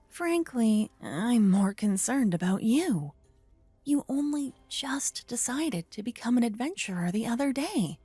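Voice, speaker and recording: A woman acting as a sweet young paladin adventurer in an ASMR fantasy roleplay. She speaks slowly in a soft, breathy voice, caring and playfully teasing, and gets flustered easily. The sound is close and dry, with music underneath. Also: mid-range voice, no echo. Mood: gentle, concerned.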